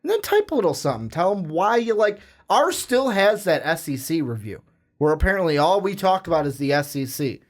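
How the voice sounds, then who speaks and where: A man co-hosts a sports podcast with energy, reading out rankings and plugging the show. His voice sits a bit high for a man. He talks in a loose, casual way. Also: brisk. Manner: energetic, plugs and runs the segment. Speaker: podcast co-host. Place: podcast studio.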